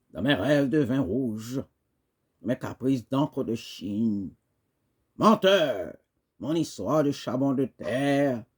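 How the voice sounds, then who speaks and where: A man reciting poetry dramatically, in an impassioned, declamatory way with emphatic pauses. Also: mid-range voice, a little mellow. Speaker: poet reciting.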